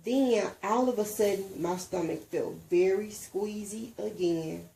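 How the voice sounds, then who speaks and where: A woman reading a children's storybook aloud in an expressive way. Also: medium pitch, a little slow, creaky, a little hiss or hum, low-quality recording. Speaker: reader, mother.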